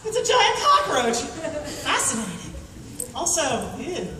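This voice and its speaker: A noisy, echoey hall surrounds an inquisitive young heroine voiced by a woman. The voice is high and swoops in disbelief, with the broad delivery of comic radio theatre. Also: wildly swooping pitch, measured pace, recorded from a distance, exaggerated voice. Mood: amazed. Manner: inquisitive, incredulous. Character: bright, curious, exasperated young woman scientist heroine.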